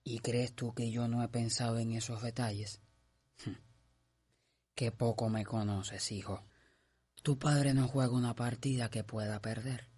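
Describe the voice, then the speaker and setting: A calculating Cuban father in a radio drama. His husky, medium-pitched voice is confident and commanding, sure of winning. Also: steady tone, unhurried, darkish tone. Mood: smug, confident. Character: scheming, self-assured father of grown son.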